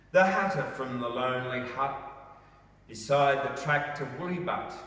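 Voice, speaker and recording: A man reads verse aloud in an expressive, theatrical storytelling style. His pace is slow and unhurried. The recording is heavily reverberant, with the voice sitting well back from the microphone. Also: mid-range voice. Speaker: poetry reader. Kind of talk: dramatic poetry recitation. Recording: very echoey, reverberant, distant from mic.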